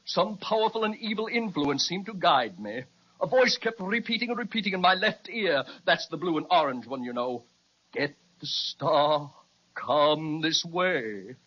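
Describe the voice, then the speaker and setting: High, husky melodramatic dragon. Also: male voice, animated, unhurried, pushed and bright, dull audio, heavily acted. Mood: anguished, indignant.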